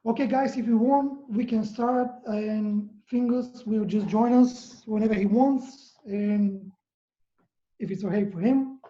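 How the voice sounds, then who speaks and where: A woman from Bulgaria, a DJ moderating a video call panel, talking quickly and warmly. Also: medium pitch. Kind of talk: casual video call chat. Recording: echoey room, dull lo-fi sound.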